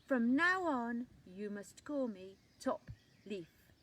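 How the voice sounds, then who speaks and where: A woman voicing a rude, self-important male leaf at the top of a tree, talking loudly. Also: extremely high pitch, lively intonation, normal speed, voice pushed up. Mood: haughty. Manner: loud, shouting, self-important.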